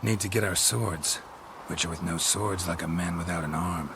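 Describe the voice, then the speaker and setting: An actor playing a gruff, stoic monster hunter in a fantasy game, with a low gravelly voice and terse, deadpan delivery. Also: male voice, normal speed, noisy background. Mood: matter-of-fact. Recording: music behind the voice.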